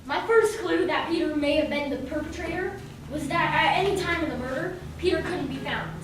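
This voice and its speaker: Confident, composed detective played by a woman, high voice, amateur radio drama, heavily echoing hall. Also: a little fast, natural voice. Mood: assured. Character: McCoy, calm confident male police detective. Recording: very echoey hall, distant mic, clear noise.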